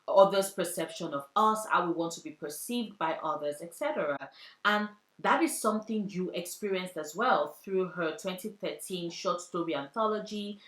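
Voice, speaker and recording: A young woman from Africa speaks earnestly and with feeling, her voice sitting a little low. She repeats phrases for emphasis as she recommends books. The recording has a noticeable room echo. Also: a bit bright. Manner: earnest, heartfelt, with poetic repetition. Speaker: book vlogger. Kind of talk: book recommendation vlog.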